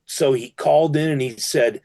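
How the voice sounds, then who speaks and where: A man's voice: a little high, moderate pace, gravelly, dry recording. Man telling a story, dry close sound. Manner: animated anecdote-telling. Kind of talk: casual storytelling.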